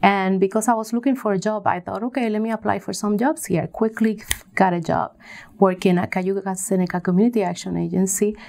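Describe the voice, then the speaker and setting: A woman from Puerto Rico giving conversational answers as an interview guest, pausing often as she tells her story. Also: medium pitch, fairly even intonation, creaky, darkish tone. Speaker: interview guest, business coach.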